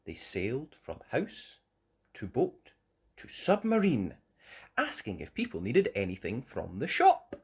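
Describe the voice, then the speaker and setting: A woman narrating a children's storybook aloud in an expressive, measured way. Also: extremely low pitch, slightly rough.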